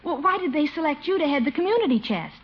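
A sweet, high-voiced young niece. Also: female voice, lively intonation, quick pace. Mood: fond. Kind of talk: 1950s radio sitcom acting. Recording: dull, noisy old radio recording.

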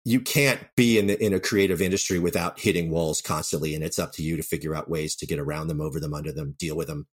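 Male interview guest, deep register, brisk delivery, candid and unpretentious creative-professional chat. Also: fairly even intonation, husky. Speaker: writer-director of audio dramas, interview guest. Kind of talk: casual interview talk.

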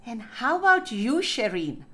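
A woman's voice: on the high side, very animated, unhurried, sharp tone, faint music behind. A woman producer hosting a podcast segment, introducing guests in a friendly way.

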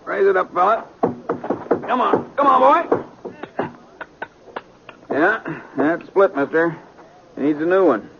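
High-voiced folksy frontier blacksmith. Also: male voice, big pitch swings, slow, gravelly, pauses often, exaggerated voice. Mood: easygoing, helpful. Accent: American. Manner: folksy, plain-spoken. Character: easygoing male frontier blacksmith. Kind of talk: 1950s radio western acting. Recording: muffled, noisy 1950s radio recording.

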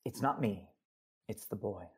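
A man playing an earnest, devoted lover and secret husband in an audio drama, with a gravelly, husky voice and deliberate delivery. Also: fairly low pitch. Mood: urgent, concerned.

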